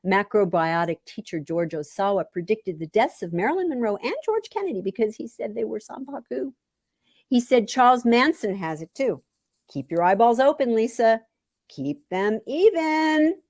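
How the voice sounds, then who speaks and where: A woman's voice: on the high side, lively intonation, moderate pace, a little mellow, no echo, studio quality. A woman reading a first-person story theatrically and expressively, at times dropping toward a whisper. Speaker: author reading own memoir story.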